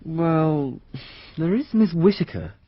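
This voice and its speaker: An actor playing a wary, gossipy teenage student in a radio mystery, talking in an informal, offhand way. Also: male voice, fairly high pitch, expressive, takes it slowly. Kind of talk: radio mystery drama acting. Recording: dull, lo-fi radio drama recording.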